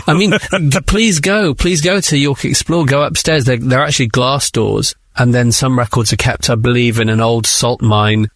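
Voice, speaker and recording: Male interview guest: mid-pitched, enthusiastic, persuasive, dry studio sound. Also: moderate pace, dry recording.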